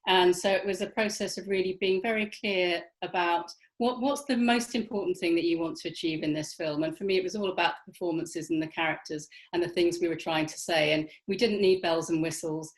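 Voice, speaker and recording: A woman guest on a filmmakers' panel talks in a reflective, conversational way. Her pitch is a little lower than most women's. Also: a little fast, hardly any pauses, rough recording. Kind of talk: reflective discussion.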